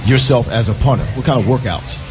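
A man's voice: medium pitch, fast talker. A middle-aged or older man co-hosting a casual live sports interview, conversational and given to repeating phrases. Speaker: radio co-host, former football receiver. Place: live radio broadcast from a casino. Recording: dull lo-fi audio, loud venue noise.

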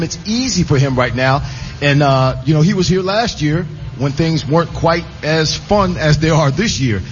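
A man on a sports radio show explaining football plays in a steady, repetitive way. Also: a little high. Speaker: football analyst. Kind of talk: casual sports radio chat. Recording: dull lo-fi broadcast with faint hiss.

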